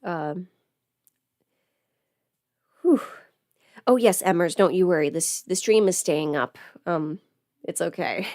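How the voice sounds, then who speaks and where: A woman's voice: on the low side, slow, pauses often, a little mellow, dry recording, clean studio sound. A woman livestreamer chatting casually while getting ready, rambling and interrupting herself as she thinks aloud.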